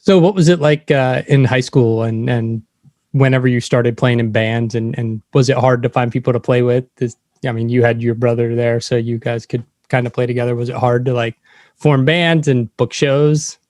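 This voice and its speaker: A man speaks as a podcast interviewer in a mid-pitched voice. The sound is dry, and his questions come in a casual, conversational way. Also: fairly even intonation, moderate pace, darkish tone, no echo.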